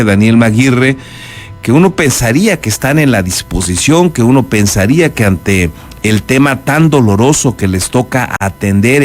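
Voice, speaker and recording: A man with a Mexican accent anchoring a news programme, mixing reports with spoken commentary. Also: medium pitch. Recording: music bed behind the voice. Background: background music.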